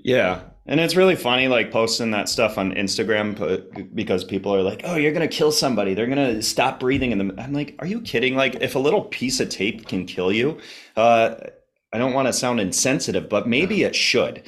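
A man chatting casually as a podcast guest, informal and explanatory, his voice slightly hoarse and a little congested. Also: mid-range voice, animated, brisk, a bit bright. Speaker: podcast guest, health enthusiast. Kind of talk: casual conversational interview.

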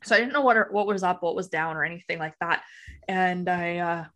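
A woman's voice: fairly low pitch, fairly quick, bright, forceful tone. A woman from Alberta with a Western Canadian accent, chatting informally and ramblingly as a podcast guest. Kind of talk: casual interview chat.

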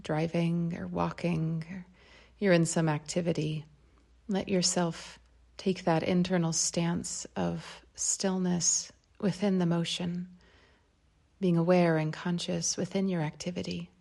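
A woman leading a guided meditation in a calm, gentle, unhurried way, with a breathy voice that softens toward a whisper. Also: fairly low pitch. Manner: calm, unhurried, gentle phrasing with long pauses. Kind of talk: guided meditation, slow and soft instruction.

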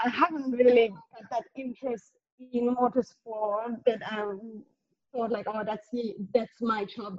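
A woman's voice: on the high side, a little mellow, no echo. A young woman with a German accent, answering interview questions in a hesitant way with many restarts. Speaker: guest engineer. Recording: dull lo-fi audio.

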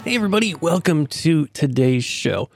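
Gravelly man with a cold hosting. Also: on the high side, lively intonation, moderate pace, soft background music. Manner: rambling, many fillers and restarts. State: has a cold. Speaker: podcast host. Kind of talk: casual solo podcast talk.

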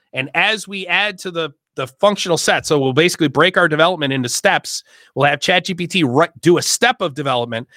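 A man hosts a casual discussion, explaining at length in rapid, emphatic bursts. His voice is a little high and bright, and it sounds dry and close to the mic. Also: no echo. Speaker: host and workshop leader.

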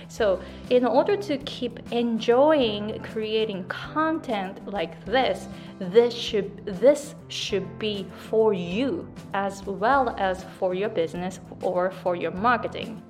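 Woman, Japanese accent, unhurried delivery, chatty, keeps asking "right?", faint music underneath. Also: fairly high pitch. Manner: chatty, repeats "right?" and "like", self-corrections. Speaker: English pronunciation coach. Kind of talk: business advice vlog to camera. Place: speaking to a camera.

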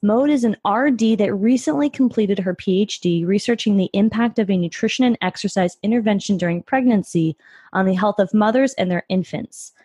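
A woman's voice: mid-range voice, brisk, warm tone, husky, no room sound. Woman, podcast host, upbeat, encouraging, dry recording. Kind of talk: podcast interview.

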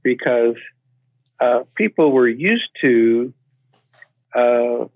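A Canadian guest explaining immigration in an interview, speaking in a measured, hesitant way with many uh's. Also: male voice, mid-range voice, expressive, no room sound. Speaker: podcast guest, immigration expert. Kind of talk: interview answers. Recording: tinny phone line.

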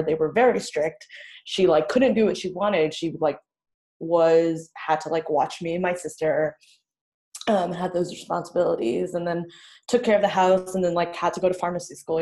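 A woman's voice: lowish voice, darkish tone. A woman whose first language is Vietnamese, a songwriter guest telling her story in a candid, chatty way, full of "like" and little laughs. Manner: chatty, filler-heavy, frequent "like" and laughs.

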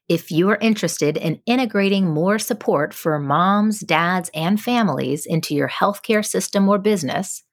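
A woman's voice: lowish voice, husky, dry recording, studio quality. A woman hosting a podcast, speaking in a scripted presenter style and interviewing guests. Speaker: podcast host and psychologist.

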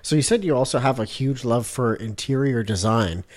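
A man's voice: mid-range voice, moderate pace, a little mellow. A man hosting a podcast, asking conversational questions in an informal, playfully teasing way. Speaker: podcast host, interviewer. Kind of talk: casual interview, conversational questions.

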